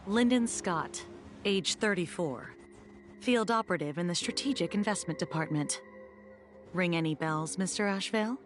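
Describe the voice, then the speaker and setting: An actress playing a cool, composed security officer in a video game, speaking with dry, faintly wry, businesslike authority. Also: female voice, lowish voice, normal speed, soft background music, lightly acted.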